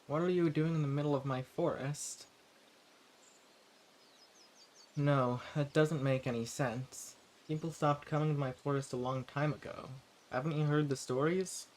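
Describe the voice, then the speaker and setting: A man's voice: fairly high pitch, steady tone, moderate pace, darkish tone, lightly acted. A man playing an eccentric, sweet-talking old vampire. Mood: surprised, curious. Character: centuries-old hermit male vampire, eccentric, sweet-talking, quietly menacing. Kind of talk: scripted roleplay audio drama, addressing the listener.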